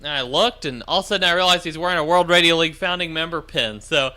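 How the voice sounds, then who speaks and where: Male livestream host, very bright forceful voice, slightly high, agreeable and jokey, informal ham radio chat. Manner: agreeable, jokey, quick to chuckle. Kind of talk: casual livestream chat about amateur radio.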